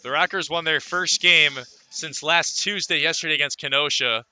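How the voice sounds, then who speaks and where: A man's voice: fairly high pitch, fairly even intonation, moderate pace, bright, forceful tone, no room sound. A man calling a baseball game as a play-by-play announcer in a live radio commentary style.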